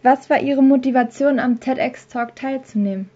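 A woman's voice: high-pitched, normal speed, dark tone, muffled recording. A woman interviewer asking formal questions.

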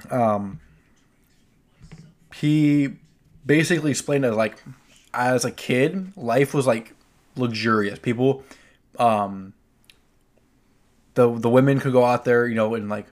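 A man's voice: medium pitch, darkish tone. Male, mid-range voice, loose hesitant storytelling among friends. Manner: rambling, hesitant, slangy. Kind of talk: casual anecdotal chat.

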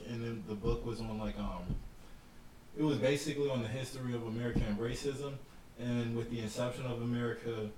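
A man's voice: medium pitch, a little mellow. A man hosting a podcast in a laid-back casual chat, agreeing often. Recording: echoey room, distant mic, audible noise.

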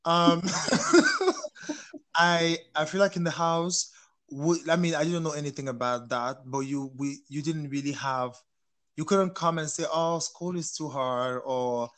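A young man from Cameroon hosting a casual chat, animated and laughing often as he questions his guests. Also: on the high side, measured pace. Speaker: host.

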